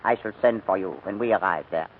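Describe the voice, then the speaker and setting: A man's voice: sits low, fast talker. A man playing a curt, menacing major in 1940s radio drama, clipped and commanding. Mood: cold, businesslike. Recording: noisy, dull old radio recording.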